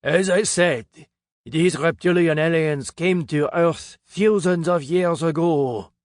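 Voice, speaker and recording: A man's voice: on the high side, measured pace, no room sound, clean studio sound, pitched above the performer's own voice, lightly acted. A man voicing a jowly, middle-aged Frenchman with a French accent who lectures grandly on conspiracy theories. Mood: grandiose. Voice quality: theatrical, grandiose delivery.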